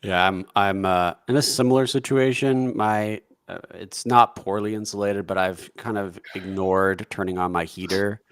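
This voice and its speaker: A man with a fairly low voice hosting a sports podcast from a room in his home. He talks in a relaxed, rambling way, and the sound is close and dry. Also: normal speed, hardly any pauses, smooth, no echo. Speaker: podcast host. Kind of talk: casual sports podcast chat. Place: home room by back door.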